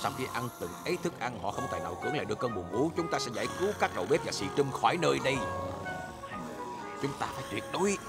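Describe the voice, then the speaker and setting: An actor playing a wise, calm elder smurf leader in a Vietnamese cartoon dub, with a creaky, persuasive delivery. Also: male voice, medium pitch, very fast, loud music behind the voice. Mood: assured.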